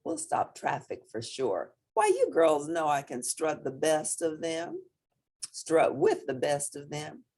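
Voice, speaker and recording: A woman's voice: deep voice, sing-song, slow, warm tone, husky, full character voice. A woman voicing vain, gossipy farm hens in a children's storybook, theatrical and emphatic. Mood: gushing, vain.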